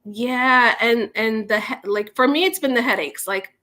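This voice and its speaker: A woman in her forties hosts an online community check-in in a high, bright, forceful voice. She hesitates often with ums as she talks over a video call. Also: moderate pace. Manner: warm, hesitant with many ums. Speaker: host.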